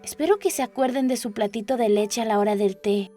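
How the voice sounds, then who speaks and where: A woman's voice: fairly high pitch, brisk, warm tone, faint music behind, close to an everyday voice. A woman voicing a curious, dreamy young girl who chatters and muses to herself in a storybook. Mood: wistful. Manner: musing aloud, questioning, wordy. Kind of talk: storybook character voice.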